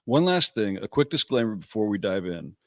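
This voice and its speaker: A man co-hosting a nursing podcast, a registered nurse who talks in a casual, halting way and restarts his sentences as he explains. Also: lowish voice, sing-song, no echo, clean studio sound.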